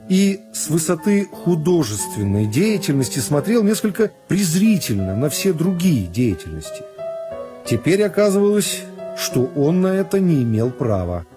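A man reading literary narration for a radio production in a measured, expressive way. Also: a little high.

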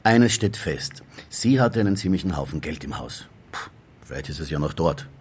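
An actor in a radio play as a middle-aged, gruff and weary police inspector, speaking in terse phrases. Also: male voice, extremely low pitch, expressive, normal speed, exaggerated voice. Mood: pensive. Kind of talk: German radio play acting.

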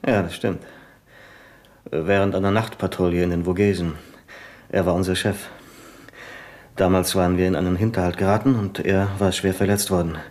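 An actor playing a humble, rattled secretary and war veteran who speaks haltingly, in a 1970s radio play. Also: male voice, sits low, little pitch movement, darkish tone, close to an everyday voice. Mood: earnest. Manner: hesitant, halting, earnest.

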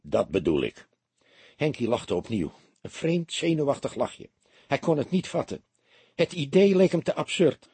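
A man narrating a literary crime story as an audiobook, in an expressive storytelling manner. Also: medium pitch, breathy edge, no echo.

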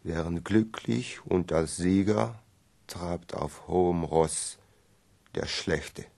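Brooding, gravel-deep verse reciter. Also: male voice, slow, warm tone, exaggerated voice. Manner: solemn, brooding. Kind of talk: radio play acting, dramatic verse recitation.

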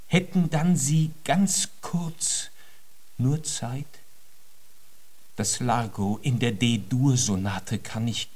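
An actor in a German radio drama playing an earnest, deferential young musician who speaks formally and courteously. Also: male voice, a little high, a little mellow. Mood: pleading.

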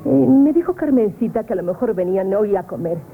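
A narrow, noisy radio recording holds a woman playing a gossipy wife with an accent from Spain. She speaks chattily at a middling pitch, with lively swings in her intonation. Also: fairly quick, lightly acted. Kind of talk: radio drama dialogue.

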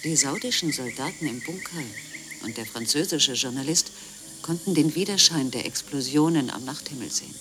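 A man's voice: on the high side, expressive, normal speed, music in the background, close to an everyday voice. A man playing a grave marine biologist and reporter, reading scripted narration in a radio documentary drama. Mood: sober.

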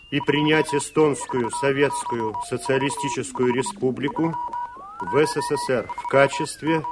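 An actor playing a dutiful, deferential ship's radio operator in a Soviet radio play, with clipped delivery. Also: male voice, medium pitch, natural voice. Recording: clearly noisy radio play recording.

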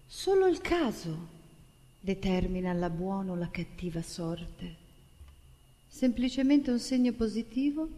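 Slow, declamatory woman in a reverberant hall. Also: medium pitch, dark tone. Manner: declamatory, deliberate with dramatic pauses. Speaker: stage actress and singer. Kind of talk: theatrical monologue recitation. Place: theatre stage. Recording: long hall reverb of a stage.

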